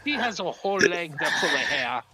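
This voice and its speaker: A man putting on a comic foreign character in a deadpan, joking way. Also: on the high side, a little fast, a crowd in the background. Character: comic foreign man offering his sister.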